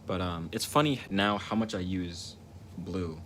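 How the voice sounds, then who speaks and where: A young man with a very deep, low voice talks casually while painting, with a stutter. He rambles and reflects, repeating words and circling back to restart his thoughts. Steady background noise runs under the recording. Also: unhurried. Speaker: livestreaming painter. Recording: noticeable ambient noise.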